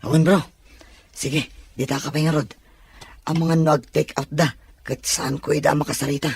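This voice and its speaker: An actor with a Filipino accent playing a loyal, courteous young best friend in an audio drama, his voice slightly husky. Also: fairly high pitch, flat delivery, normal speed. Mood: polite.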